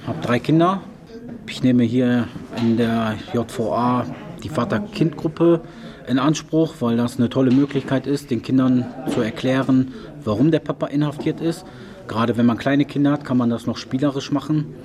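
A man's voice: medium pitch, moderate pace. Thirties man interviewed amid crowd. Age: 30s. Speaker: prison inmate interviewee. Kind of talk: informal interview statement.

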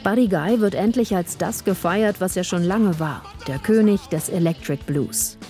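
A woman narrates a news feature in a low, mellow voice. Her delivery is even and measured, and faint music plays underneath. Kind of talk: scripted narration.

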